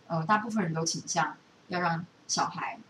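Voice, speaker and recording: A woman's voice: fairly low pitch, steady tone, moderate pace, sharp tone. A woman with a Taiwanese Mandarin accent chatting casually, retelling things in a rambling, loosely strung way. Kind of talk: casual chat, retelling a book. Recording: echoey room, distant mic, background noise.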